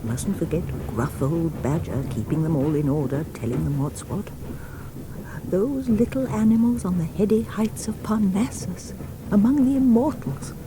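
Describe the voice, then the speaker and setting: An actress playing a wistful but tart woman with a measured, reflective delivery in a radio play. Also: lowish voice. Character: wistful, tart woman, tenderly musing on storybook animals. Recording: noisy old radio broadcast tape.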